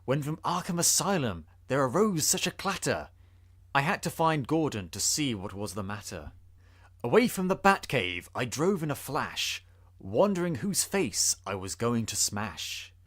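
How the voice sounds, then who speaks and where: Male narrator for rhyming tale: theatrical, suspenseful, forceful, lively range. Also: medium pitch, unhurried. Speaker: podcast host. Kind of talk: dramatic storybook reading of rhyming verse.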